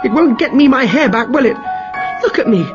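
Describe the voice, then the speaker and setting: A man voicing a shrill, furious goddess who scolds her husband. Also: expressive, brisk, muffled recording, music in the background. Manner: shrieking, scolding.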